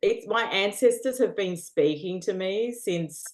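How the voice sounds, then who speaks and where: A woman's voice: medium pitch, animated, measured pace. An Australian woman, a guest in a casual interview, talking earnestly with lots of fillers and frequent laughter.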